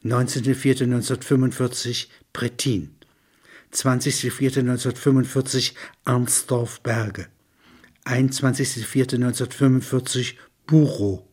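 Male reader, medium pitch, husky tone, deliberate and monotonous recital of documentary entries. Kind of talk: scripted reading of dates and places.

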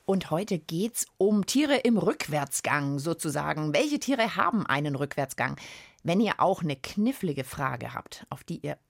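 A woman's voice: low-pitched, big pitch swings, unhurried, gravelly. A woman co-hosting a children's science show in a playful, conversational way, speaking straight to her listeners. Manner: playful, addresses listeners directly. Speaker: co-host of a children's science podcast.

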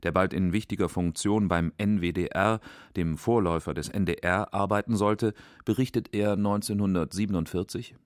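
A man's voice: low voice, fairly even intonation, moderate pace, few pauses, dry recording, clean studio sound. A man narrating a scripted radio documentary in a factual, composed way.

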